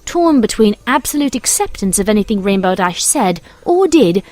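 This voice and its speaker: A woman's voice: mid-range voice, sing-song, moderate pace, a little mellow, dry recording, soft background music. A woman reading scripted storybook narration as the narrator.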